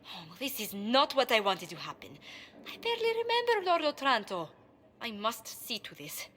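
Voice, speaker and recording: A woman's voice: fairly high pitch, sing-song, moderate pace, a bit bright, a little hiss or hum. An actress playing a refined, proper young noblewoman diplomat in a video game, with precise, formal speech that can turn flustered. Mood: distressed, flustered. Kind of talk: video game cutscene acting.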